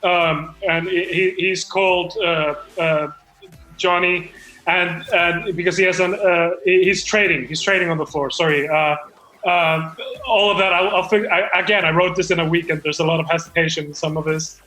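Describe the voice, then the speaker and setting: A man's voice: a little high, steady tone, normal speed, sharp tone, roomy sound, faint music behind, low-quality recording. A man reading his screenplay aloud at a comedy table read, stumbling, hesitant and apologetic.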